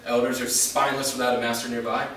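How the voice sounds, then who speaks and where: A man's voice: medium pitch, level tone, fast talker, bright, forceful tone, lightly acted. A man playing a confident, blunt half-vampire hunter in a staged play reading, terse and challenging. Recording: echoey room, far mic, faint noise.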